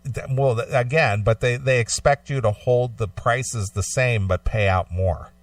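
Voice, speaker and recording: A man co-hosting a casual, opinionated radio discussion, explaining his points haltingly and often repeating phrases. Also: mid-range voice, no room sound, far from the mic. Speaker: talk show co-host.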